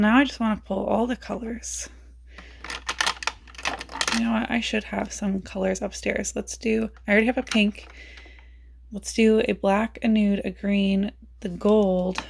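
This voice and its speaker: A woman beauty vlogger chatting casually about her makeup, raspy as she loses her voice and thinks aloud in a self-mocking way. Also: mid-range voice, steady tone, measured pace, long pauses, slight background noise.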